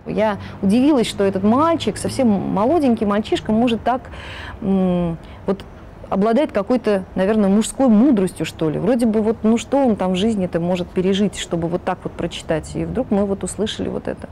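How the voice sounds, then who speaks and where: Hesitant middle-aged woman, mellow tone. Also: medium pitch, brisk, a little hiss or hum, poor audio. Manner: hesitant, searching for words. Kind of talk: interview answer.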